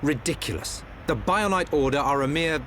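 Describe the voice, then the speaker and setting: A man's voice: fairly high pitch, lively intonation, moderate pace, fairly bright tone. A man playing a haughty, dignified crown prince in a video game, speaking formally and imperiously. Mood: skeptical, troubled. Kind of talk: video game cutscene acting. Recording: faint ambient noise.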